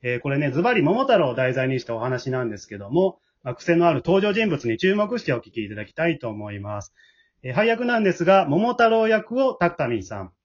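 A man with a Kansai accent hosting a radio drama show from home in a lively way, on a muffled remote recording. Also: on the high side, fast talker. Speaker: host and leader of an amateur radio drama group.

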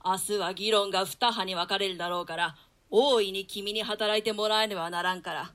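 A woman's voice: medium pitch, fairly even intonation, a little fast, fairly bright tone, close to an everyday voice. A woman performing a scheming, commanding male nobleman in a theatrical Shakespeare reading. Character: scheming, commanding nobleman, grown man, conspirator. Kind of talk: theatrical Shakespeare reading in archaic Japanese translation.

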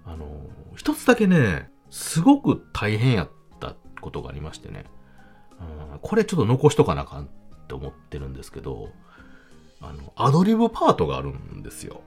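A man with a Kansai accent hosting a casual solo talk podcast, chatting in an animated, emphatic way. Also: very deep voice, fairly quick, creaky, husky. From Kansai, Japan. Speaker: podcast host. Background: background music.

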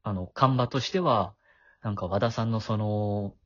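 A man's voice: on the low side, moderate pace, fairly bright tone, dry recording. A man who works as a voice actor and writer, chatting casually and full of compliments about a voice drama. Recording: dull lo-fi audio.

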